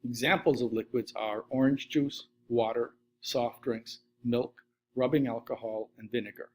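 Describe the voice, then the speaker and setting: A man's voice: mid-range voice, measured pace. A middle-aged man, a science teacher giving a recorded slide lesson at his desk webcam, steadily reading through lists. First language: Latvian. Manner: steady list-reading delivery.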